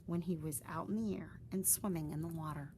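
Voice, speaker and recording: Woman narrator for a children's read-aloud; warm storytelling, faint room noise. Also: sits low, brisk. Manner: expressive, gentle storytelling.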